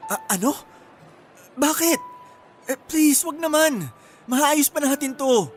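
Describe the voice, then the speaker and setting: Young boyfriend, high voice, insecure, imploring, emotional radio drama, music behind. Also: male voice, animated, slow, full character voice. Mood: desperate, pleading. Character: pleading, insecure young boyfriend being dumped.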